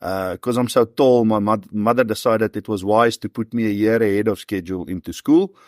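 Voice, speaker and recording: A man's voice: lowish voice, fairly even intonation, brisk, darkish tone, no echo, clean studio sound. A man in his forties with a South African accent, telling stories in an easygoing, conversational way as an interview guest. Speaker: professional hunter and former soldier, interview guest.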